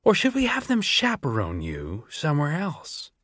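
A man doing a high, slow, taunting mad scientist woman. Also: huge pitch swings, a bit bright, no echo, studio quality, heavily acted. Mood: sly. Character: unhinged cruel female scientist, older.

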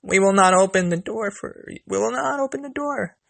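A man's voice: sits high, moderate pace, vocal fry, a bit bright, dry recording, pitched above the performer's own voice. A man voicing defiant little goat kids from a storybook, crying out in protest.

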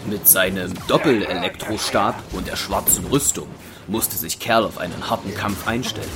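A man's voice: low-pitched, normal speed. A man narrating an audio drama as a scripted storyteller. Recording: music behind the voice.

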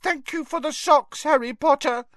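Squeaky lil house elf for an audiobook, super high, fearful, loyal, broken grammar. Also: male voice, normal speed, a bit bright, no echo, clean studio sound. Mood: miserable. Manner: earnest third-person speech with broken grammar.

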